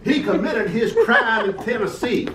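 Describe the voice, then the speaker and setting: A man playing a hot-tempered, profane lawyer who shouts and gloats in an amateur radio play. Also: high voice, steady tone, normal speed, roomy sound, noisy background, natural voice. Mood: stubborn. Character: blustering hot-tempered male Tennessee lawyer. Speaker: opposing lawyer for Tennessee.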